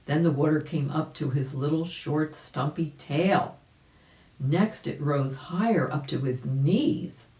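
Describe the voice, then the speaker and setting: A woman's voice: sits low, slow, mellow. A woman narrating a children's story, explaining things and speaking directly to young listeners. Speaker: volunteer storybook reader. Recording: echoey room.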